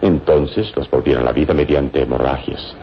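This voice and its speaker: A man playing a calm, wise hero in an old radio drama, speaking gravely and deliberately. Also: a bass voice. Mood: grave, explanatory. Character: calm, wise male hero with hypnotic powers. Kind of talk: old Latin American radio drama acting. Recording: dull, noisy old radio recording.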